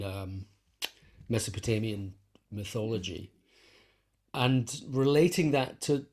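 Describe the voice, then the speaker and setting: A man's voice: fairly low pitch, a little mellow. A man being interviewed, explaining his work in a casual conversational way, thinking aloud and repeating phrases as he goes. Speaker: interview guest, composer and conductor.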